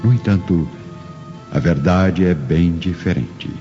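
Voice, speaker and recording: A man's voice: low voice, slow pace. A man giving solemn, theatrical scripted narration for a drama. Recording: muffled audio with faint music.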